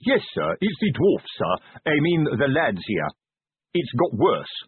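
A man voicing a slow, stolid, earnest male sergeant who uses rough working-class grammar. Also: fairly high pitch, very animated, dry recording, pitched above the performer's own voice, exaggerated voice. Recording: thin phone-like dry recording.

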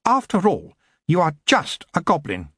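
A man's voice: on the high side, huge pitch swings, normal speed, fairly bright tone, husky, no echo, clean studio sound, voice pushed up, heavily acted. A man putting on a haughty elf queen. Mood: scornful. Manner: imperious, scornful. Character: haughty cruel elf queen, woman, ageless.

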